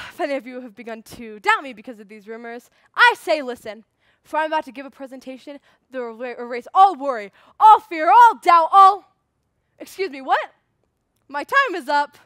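A woman's voice: high-pitched, fairly bright tone, no echo, studio quality. A woman performing a stage monologue as an indignant, bluffing schoolboy, speaking in a pompous, emphatic, theatrical way. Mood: grandiose, appalled. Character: indignant, bluffing schoolboy giving an unprepared oral report.

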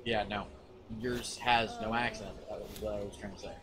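A young American man livestreaming a game, chatting in an expressive, sweary, teasing way. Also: on the low side, moderate pace, noisy background. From California, USA. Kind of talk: casual banter while streaming a game. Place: indoor room, seated in armchair.

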